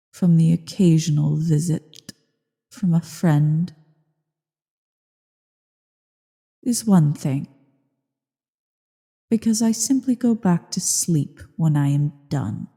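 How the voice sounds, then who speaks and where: A woman voicing an ancient evil female dragon in a slow ASMR roleplay monologue, haughty, bored and menacing, with a low, husky, creaky delivery. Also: mellow, heavily acted. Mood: bored, cold. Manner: haughty, disdainful, deliberate. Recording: long cavernous reverb.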